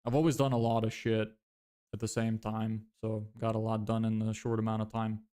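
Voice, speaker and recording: A man's voice: on the low side, monotone, a little fast, warm tone. A man in his twenties, originally from Lithuania, chatting casually on a livestream, thinking aloud and often correcting himself as he answers viewer questions. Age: 20s. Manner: thinks aloud, self-correcting, frequent fillers. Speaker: livestreamer building a business.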